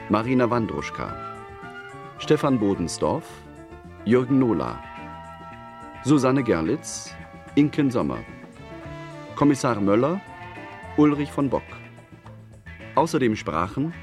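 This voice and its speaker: A man reading radio drama credits as a formal, deliberate 1970s announcer, name after name. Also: medium pitch, darkish tone.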